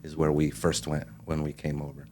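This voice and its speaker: A man born in Mexico, a guest in a casual interview, telling his story in a relaxed way and laughing easily. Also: extremely low pitch, a little fast, slightly rough.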